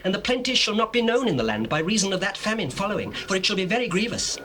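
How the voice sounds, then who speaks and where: An actor with a British accent playing an earnest young Hebrew dream interpreter, declaiming solemnly in a scripture drama. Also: male voice, sits high, sing-song, fast, sharp tone, heavily acted. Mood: solemn, urgent. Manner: declamatory, emphatic. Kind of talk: dramatized scripture acting in archaic English. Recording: faint hiss of old cassette.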